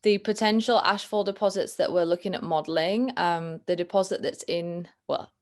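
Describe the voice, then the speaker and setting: A young woman in her twenties with a Yorkshire accent, talking thoughtfully as a guest over a video call, often pausing with ums and restarting. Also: on the low side, fairly even intonation, a little mellow. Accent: Northern English, Yorkshire. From England. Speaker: guest, planetary science student. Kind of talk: casual interview chat.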